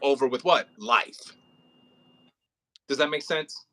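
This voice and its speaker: Casual male rehearsal host, bright forward voice, slangy and informal delivery. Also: mid-range voice, takes it slowly. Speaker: audio play writer and rehearsal host.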